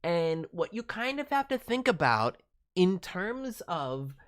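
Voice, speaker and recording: A woman with a low voice hosts a casual review stream. Her pitch moves around a great deal as she coaches in an animated, encouraging way. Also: slow. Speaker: streaming host and voice director reviewing demos. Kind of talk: casual livestream critique.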